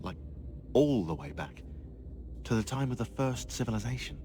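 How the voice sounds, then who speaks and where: An actor playing a bookish British researcher in a video game, chatty and dry with a slightly pedantic, opinionated way of talking. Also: male voice, mid-range voice, very animated, normal speed, background noise, lightly acted. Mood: chatty, wry. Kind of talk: naturalistic video game acting.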